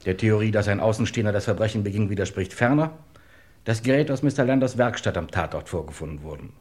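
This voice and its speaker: An actor playing a courteous, insinuating detective in a vintage radio crime play, formal and pointed. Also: male voice, fairly low pitch, fast, darkish tone, natural voice. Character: dry, courteous, insinuating male police detective.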